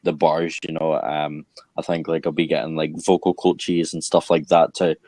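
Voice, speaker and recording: A young man from Scotland whose accent leans toward Northern Irish. His voice sits low, and he rambles through his answers with plenty of "like" and "um". The sound is dry and close. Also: level tone, normal speed, darkish tone, dry recording. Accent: Scottish with Northern Irish mix. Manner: rambling, heavy on "like" and "um". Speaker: actor guest. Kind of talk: casual interview chat.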